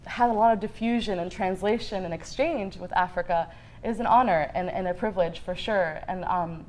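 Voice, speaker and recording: A woman's voice: on the low side, moderate pace, poor audio. A Sudanese American woman from Sudan answering interview questions conversationally as a guest. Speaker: fellowship guest, marketing professional.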